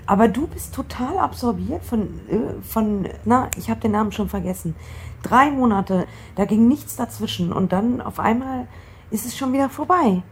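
A woman's voice: fairly high pitch, animated, moderate pace, slight background noise. A woman playing a blunt, curious young woman chatting with a friend in naturalistic radio drama dialogue. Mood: puzzled.

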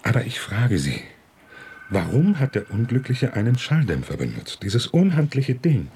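An actor playing a cocky, wisecracking police assistant in a radio crime drama. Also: male voice, mid-range voice, huge pitch swings, moderate pace, darkish tone, a little hiss or hum. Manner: wisecracking, cheeky, sarcastic. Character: cocky young male detective assistant, Philipp.

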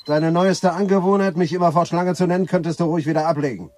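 Ein Schauspieler spielt in einem deutschen Hörspiel einen eitlen, reizbaren Schriftsteller, pompös und theatralisch, mit belegter Stimme. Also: male voice, on the high side, steady tone, quick pace, a little mellow, close to an everyday voice. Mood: irritated. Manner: pompous, theatrical, swinging from muttering to declaiming. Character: vain, irritable, self-important male novelist. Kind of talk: German radio play acting.

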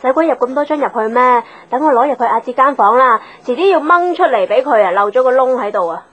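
An actress playing a nosy, catty young office gossip in a radio drama, snappy in manner. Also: female voice, high voice, lively intonation, quick pace, lo-fi sound. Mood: catty, indignant. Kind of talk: Cantonese radio drama dialogue. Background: background music.

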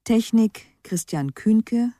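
A woman radio announcer reading scripted credits in a calm, formal, measured manner. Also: a little high, no room sound, studio quality. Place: dry studio.

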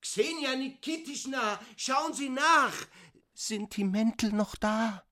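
An actor in a German radio play voicing a brusque, puzzled medical professor who questions and orders with authority. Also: male voice, very high-pitched, takes it slowly, sharp tone, heavily acted. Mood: alarmed.

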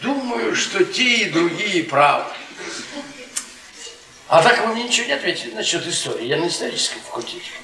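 A man's voice: high voice, big pitch swings, brisk, creaky, a crowd in the background, exaggerated voice. An actor playing a bitter, mocking middle-aged history professor in a radio play, rambling and repeating his words sardonically. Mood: sardonic rambling. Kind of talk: theatrical Soviet radio play acting. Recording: roomy, distant 1980s radio play recording.

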